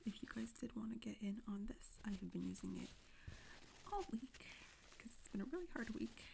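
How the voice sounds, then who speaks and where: A woman's voice: fairly high pitch, animated, normal speed, vocal fry, slight background noise. A young woman playing a self-pitying influencer, whispering as if on the verge of tears. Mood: teary, pleading. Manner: hushed, whispery delivery throughout. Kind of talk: parody apology video.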